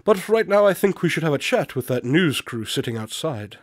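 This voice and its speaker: An actor playing a shrewd, confident male detective in a radio drama, speaking in a measured, deliberate and dryly condescending way. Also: on the high side, sing-song, no room sound, studio quality. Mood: assertive, condescending.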